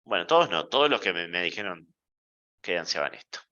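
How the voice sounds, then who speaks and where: A man giving a university math lecture in a playful, deliberate way, checking in with the class often. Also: deep voice, big pitch swings, bright, forceful tone, highs cut off.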